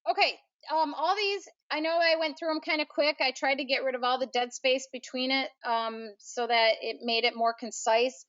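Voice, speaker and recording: A woman's voice: sits high, fairly even intonation, normal speed, hardly any pauses, a bit bright, highs cut off. A woman presenting a training session in an informal, conversational, unscripted way. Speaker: presenter of an online aviation training.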